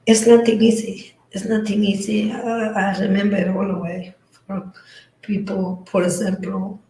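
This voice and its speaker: Female guest with a Spanish accent, halting speech, mixes in Spanish, roomy sound. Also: medium pitch, flat delivery, dark tone. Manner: halting, mixing English and Spanish. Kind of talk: conversational interview.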